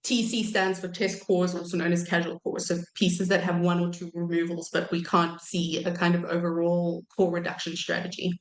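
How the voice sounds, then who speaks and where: A woman in her thirties giving an academic lecture while croaky from a cold, pausing often with ums and correcting herself. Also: lowish voice, flat delivery, roomy sound, low-quality recording. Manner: hesitant, many ums and self-corrections. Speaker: archaeology researcher giving a talk.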